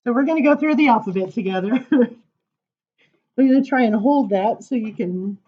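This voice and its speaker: A woman reading children's poems aloud at story time, informal, with dramatic emphasis and drawn-out words. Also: fairly high pitch, highs cut off. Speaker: library story time reader.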